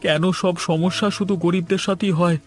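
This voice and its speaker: An actor playing a poor, dutiful young husband and son in a cartoon story, earnest and anxious. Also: male voice, high voice, fairly even intonation, fairly quick, faint music behind, natural voice. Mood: despairing.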